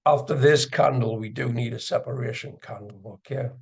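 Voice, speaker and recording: A man giving casual live commentary as an instructor, gravelly and husky, sometimes muttering to himself as he explains. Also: medium pitch, moderate pace. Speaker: trading instructor and video host. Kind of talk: casual live screen-share commentary on chart trading.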